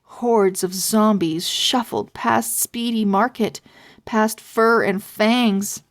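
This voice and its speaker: A woman reading a children's story aloud as narrator, expressive and full of suspense. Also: medium pitch, takes it slowly. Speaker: storybook reader.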